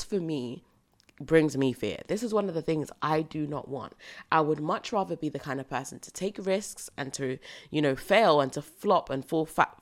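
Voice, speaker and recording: British woman, low voice, bit croaky early morning, earnest pep-talk podcast vibe. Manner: earnest, motivational, repeats phrases for emphasis. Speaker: podcast host. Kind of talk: solo reflective podcast monologue.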